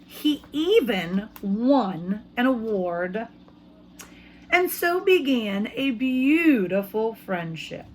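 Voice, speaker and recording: A woman speaking as an elementary school teacher, reading aloud to children in simple, expressive language and putting questions to them. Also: on the high side, extremely slow, slight background noise, poor audio.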